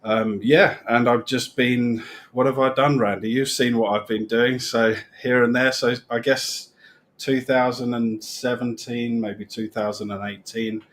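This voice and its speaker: A middle-aged man with a British accent, chatting as a guest on a live stream and rambling with restarts that trail off quietly. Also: mid-range voice, fairly even intonation, measured pace. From England. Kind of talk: casual live-stream chat.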